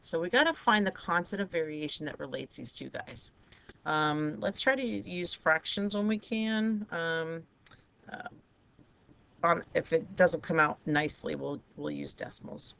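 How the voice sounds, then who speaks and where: A woman teaching a math lesson with a raspy, hoarse voice, talking students through each step of the problems. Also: sits low, animated, a little slow, fairly bright tone. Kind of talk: recorded math lesson over a digital whiteboard.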